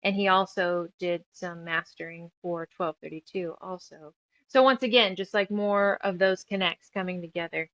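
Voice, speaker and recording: A woman's voice: fairly low pitch, steady tone, moderate pace, a bit bright, no room sound. Woman from New Mexico, slightly dull audio. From New Mexico, United States. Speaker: interview guest, author and radio show producer. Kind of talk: casual interview conversation, personal reflection.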